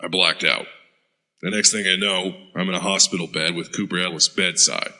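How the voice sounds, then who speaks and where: A man's voice: deep voice, fairly even intonation, normal speed, sharp tone, slight background noise, exaggerated voice. A man playing a hardened veteran soldier who talks in a blunt, profane way. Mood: gruff, recounting. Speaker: interrogated war veteran. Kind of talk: audio drama acting.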